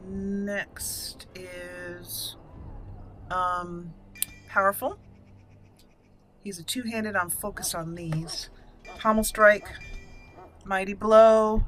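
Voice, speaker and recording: Bright-voiced woman doing game commentary. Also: medium pitch. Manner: thinks aloud in short fragments. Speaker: gaming channel host. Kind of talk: casual game walkthrough commentary.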